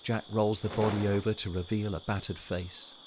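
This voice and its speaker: A man's voice: fairly low pitch, little pitch movement, moderate pace, noisy background. A man reading scripted narration for an audio drama.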